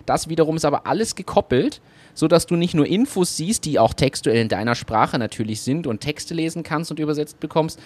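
A man co-hosting a casual podcast chat, brainstorming ideas with enthusiasm. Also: a little high. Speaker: podcast co-host.

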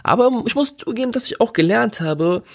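A man's voice: very high voice, expressive, brisk, gravelly. A man from Germany, hosting a solo podcast in a casual, reflective, conversational way and stretching key words for emphasis. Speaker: podcast host.

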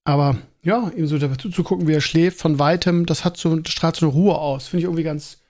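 Higher-pitched man, chatty podcast host. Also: fast talker, a little mellow. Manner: rambling, self-interrupting, colloquial. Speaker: school teacher. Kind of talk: casual solo podcast monologue.

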